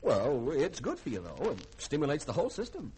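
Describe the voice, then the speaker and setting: Glib, flirtatious lieutenant, very animated. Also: male voice, a little high, fairly quick, husky, full character voice. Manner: coaxing, glib. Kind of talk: 1950s radio drama acting. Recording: noisy vintage radio recording.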